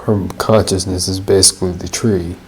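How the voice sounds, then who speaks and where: A woman's voice: a bass voice, fairly even intonation, normal speed, a little mellow, slight background noise, low-quality recording. A woman giving casual, slangy, jokey commentary while playing through a game. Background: game music.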